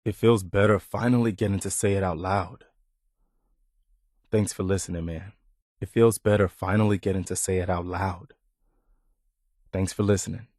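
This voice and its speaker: A loyal, duty-bound militia officer in a video game. His voice sits fairly low and sounds close and dry, with no room around it. He talks plainly and sincerely, humble and a little halting. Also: fairly even intonation, a little mellow, dry recording. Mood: warm, sincere. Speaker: game character. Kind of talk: video game dialogue acting.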